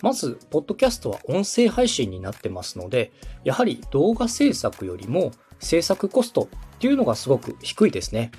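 A man's voice: a little high, wildly swooping pitch, brisk, few pauses, mellow, breathy edge. A man in his thirties from Osaka hosting a podcast, explaining things politely and step by step to a co-host. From Osaka, Japan. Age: 30s. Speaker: podcast host and radio director. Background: music.

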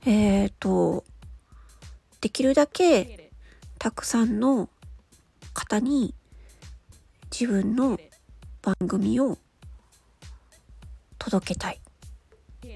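A woman's voice: fairly high pitch, vocal fry, mellow, breathy edge. A woman hosting an audio show, explaining things step by step in a casual, polite way.

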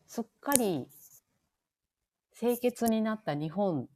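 A woman's voice: fairly high pitch, lively intonation, slow pace, warm tone. A woman in her thirties with a Kansai Japanese accent, co-hosting a casual podcast and thinking aloud in a reflective way. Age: 30s. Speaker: podcast co-host. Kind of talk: casual nostalgic chat.

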